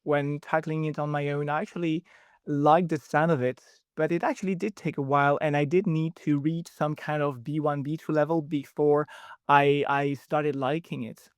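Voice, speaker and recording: A young adult man from Belgium, a native French speaker, explaining things in a measured way with many pauses as a podcast guest. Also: on the high side, fairly even intonation, clear, resonant tone, no echo, clean studio sound. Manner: measured, explanatory, with frequent ums. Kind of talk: podcast interview.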